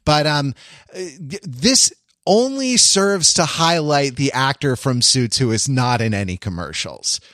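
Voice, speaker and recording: A man's voice: mid-range voice, animated, unhurried, fairly bright tone, no room sound, clean studio sound. An American man in a casual pop culture podcast discussion, talking in a digressive, discursive way and often trailing off mid-thought. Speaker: podcast panelist.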